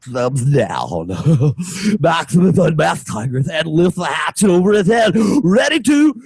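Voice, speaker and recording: Raspy, high-pitched, theatrical male narrator. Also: sing-song, slow, dry recording, studio quality. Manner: hammy, playful, often shouting. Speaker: narrator of an amateur radio play. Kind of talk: theatrical script narration with joking asides.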